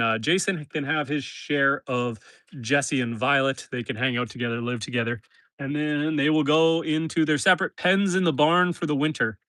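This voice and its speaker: A man's voice: mid-range voice, dry recording. Stuffy-nosed man chatting on a homestead stream. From northern Canada. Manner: relaxed, chatty, talks to animals and viewers. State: stuffy nose. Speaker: homesteader livestreaming. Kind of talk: casual live stream chat. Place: outdoors in a forest pen.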